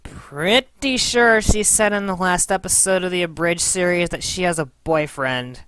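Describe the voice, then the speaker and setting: A woman's voice: moderate pace, fairly bright tone, clean tone, dry recording, lightly acted. A woman voicing a tough, short-tempered young female fighter in a cartoon parody, blunt and menacing. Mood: irritated, threatening. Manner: blunt, menacing, low-key. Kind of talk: scripted cartoon parody dub.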